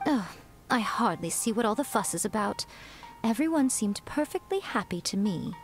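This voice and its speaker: A woman's voice: medium pitch, expressive, moderate pace, natural voice, rough recording. Actress as sly fox woman, smug, teasing, lilting wide-ranging delivery, video game, light music behind. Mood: airy, dismissive. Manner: teasing, leisurely, haughty. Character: sly, teasing, self-important fox shrine maiden woman. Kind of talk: video game character dialogue.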